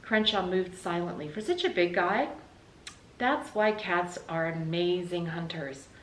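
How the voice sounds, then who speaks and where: A woman's voice: on the low side, unhurried, fairly bright tone, recorded from a distance. A woman with an American accent reading a children's book aloud as a teacher would. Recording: roomy, noticeable background hiss.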